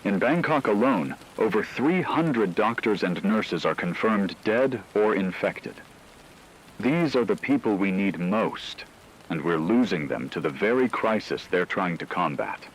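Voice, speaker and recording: A man playing a grave radio news anchor, delivering a formal, measured, analytical scripted report on an apocalypse. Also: fairly low pitch, expressive, slight background noise, poor audio.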